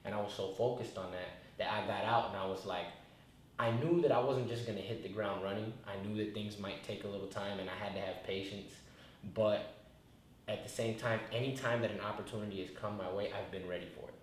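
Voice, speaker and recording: A man's voice: fairly low pitch, fairly even intonation, fairly quick. Laid-back young man in an echoey room. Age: 20s. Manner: laid-back, rambling, many restarts and fillers. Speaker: rapper, interview guest. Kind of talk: casual reflective interview talk. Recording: very echoey room, faint noise.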